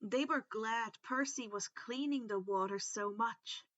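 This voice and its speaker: A woman narrating a story in an expressive, animated audiobook style, stressing casual asides. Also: fairly high pitch, measured pace, dry recording, dull audio. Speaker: reader of fan fiction for online listeners.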